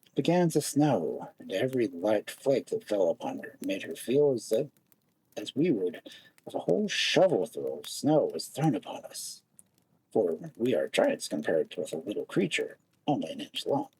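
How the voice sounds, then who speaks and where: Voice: low-pitched, big pitch swings, normal speed, darkish tone, breathy edge, poor audio. A storyteller narrating a fairy tale expressively, with dramatic, lingering phrasing.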